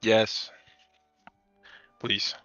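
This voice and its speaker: A man's voice: extremely slow, sharp tone, dry recording, faint music behind. A young American man chatting casually on a game coding stream, rambling and laughing as he answers chat, through a dull lo-fi mic.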